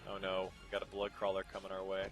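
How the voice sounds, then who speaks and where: A man's voice: low-pitched, monotone, quick pace. Easygoing man chatting over gameplay. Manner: easygoing, reacts aloud to the game. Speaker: let's play host. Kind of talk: casual gameplay chat. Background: game music.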